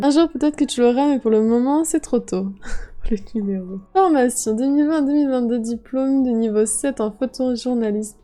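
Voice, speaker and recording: Mellow, fairly high woman's voice. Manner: chatty, amused, casual swearing. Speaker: video creator. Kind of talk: casual reading aloud and reacting.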